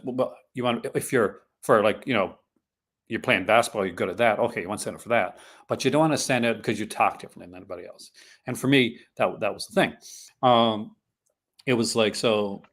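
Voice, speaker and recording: A man's voice: lowish voice, brisk, a bit bright. Rambling Canadian man with mixed accent. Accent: mixed Canadian, partly Newfoundland. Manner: rambling, restarts sentences often. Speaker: podcast host. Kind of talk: casual chat.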